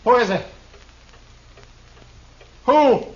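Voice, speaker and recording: Desperate, theatrical fugitive on old radio. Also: male voice, extremely high pitch, animated, drawn-out words, heavily acted. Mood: frantic, threatening. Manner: theatrical, archaic florid speech, quoting Shakespeare. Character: desperate, tormented, ill-favoured failed actor turned armed fugitive. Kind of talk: 1950s radio drama acting. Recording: dull, noisy old radio recording.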